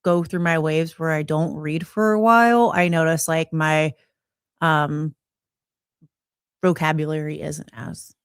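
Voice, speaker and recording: Woman with a lowish voice chatting, says um and like a lot, dry close mic. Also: steady tone, a little slow, clear, resonant tone, dry recording. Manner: chatty, hesitant, lots of "um" and "like". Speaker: podcast co-host. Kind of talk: casual chat.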